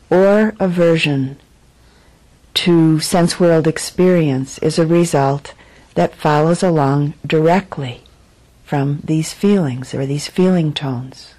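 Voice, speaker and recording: A woman meditation teacher with a low, mellow voice gives a guided dharma talk. She phrases things deliberately and leaves frequent pauses. Her delivery is very unhurried. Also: low-quality recording.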